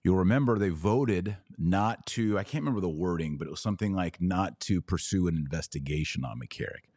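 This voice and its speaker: Deep-voiced man giving emphatic commentary. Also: animated, darkish tone, dry recording, studio quality. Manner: emphatic, indignant, with stressed pauses on key words. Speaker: podcast host and commentator. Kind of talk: opinionated news commentary to viewers.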